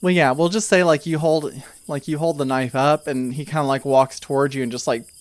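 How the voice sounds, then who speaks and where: Guy running a tabletop rpg, kinda halting casual narration, very dry sound. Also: fairly high pitch, steady tone, no room sound. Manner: halting, conversational storytelling. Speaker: game master. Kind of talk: improvised tabletop game narration.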